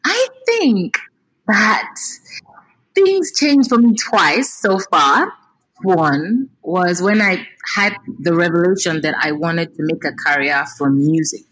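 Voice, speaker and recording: A woman's voice: fairly low pitch, slow, low-quality recording. A Nigerian woman in her thirties, a singer talking expressively and animatedly in a casual interview. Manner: expressive, animated, repeats words while thinking.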